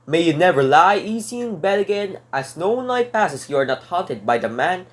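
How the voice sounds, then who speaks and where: A man's voice: high voice, very animated, normal speed. A man playing a desperate jailed burglar in a radio drama, earnest and theatrical, with formal old-fashioned phrasing. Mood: insistent, bitter. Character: desperate jailed male burglar pleading his innocence. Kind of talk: dramatic radio play monologue and narration.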